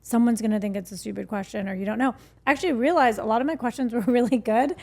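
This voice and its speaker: A woman speaks as a guest in a relaxed interview, talking candidly and loosely with many fillers. Her voice sits a little high. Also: quick pace. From United States. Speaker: guest, skincare founder. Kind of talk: casual interview chat.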